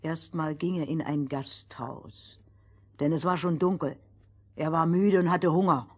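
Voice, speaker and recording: A woman's voice: low-pitched, fairly even intonation, unhurried, darkish tone, slightly rough. A woman playing a bossy, exacting storyteller in a fairy-tale audio play, with emphatic delivery. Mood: narrating. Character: bossy, exacting female storyteller, correcting her partner. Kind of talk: acted fairy-tale narration in dialogue.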